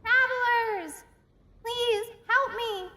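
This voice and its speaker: A woman's voice: very high voice, drawn-out words, sharp tone, full character voice. A woman voicing a frightened little girl who pleads for help in a radio drama. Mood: pleading. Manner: pleading, breathless cries for help.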